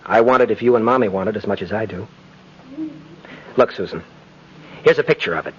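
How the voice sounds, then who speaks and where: A man's voice: lowish voice, brisk, fairly bright tone, close to an everyday voice. An actor playing an earnest, romantic male lawyer in a theatrical, emphatic 1950s radio style. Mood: warm, teasing. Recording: muffled, hissy old radio broadcast.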